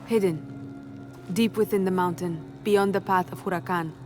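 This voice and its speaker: Proud rebel warrior woman female actor: lowish voice, solemn, formal delivery, game cutscene with music underneath. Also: fairly even intonation, moderate pace, darkish tone, lightly acted. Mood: grave, resolute. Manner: formal, declarative. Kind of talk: video game cutscene acting.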